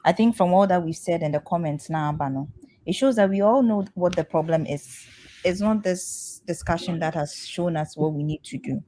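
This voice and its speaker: A woman's voice: on the low side, normal speed, mellow. A woman speaking as a panel guest, persuasive and repeating phrases for emphasis. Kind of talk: discussion contribution.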